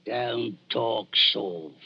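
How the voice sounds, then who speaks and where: An actor playing a rough, bold grave robber with a gravelly voice in an old radio drama. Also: male voice, on the low side, extremely slow, pushed and bright, full character voice. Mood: scornful. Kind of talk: 1940s radio drama acting. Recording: muffled old radio recording.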